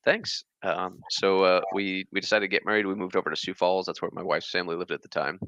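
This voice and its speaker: Rambling male guest, 30s, very deep but bright tone, dry, slightly dull mic. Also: level tone, fast, no echo. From born in Germany, raised across the US. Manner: rambling, many fillers and restarts. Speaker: guest, fish channel creator. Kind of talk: casual interview chat.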